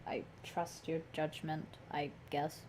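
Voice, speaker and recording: A young woman streaming a game, chatting casually and reacting loudly. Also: low-pitched, little pitch movement, slow pace. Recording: noisy game stream audio.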